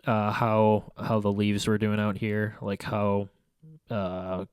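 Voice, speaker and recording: A man's voice: on the low side, completely flat delivery, slow pace, a little mellow, clear, resonant tone, no echo, clean studio sound. A young man with a stuffy, congested nose, casually reviewing his photos in a rambling, hesitant way full of fillers and restarts. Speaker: photography vlogger. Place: small room at home by a window.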